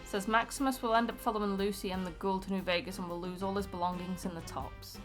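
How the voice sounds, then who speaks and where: Woman co-host chatting over clear music. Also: medium pitch, little pitch movement, fast. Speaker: co-host reading out viewer comments. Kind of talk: casual chat and reading comments aloud. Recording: music clearly playing behind. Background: background music.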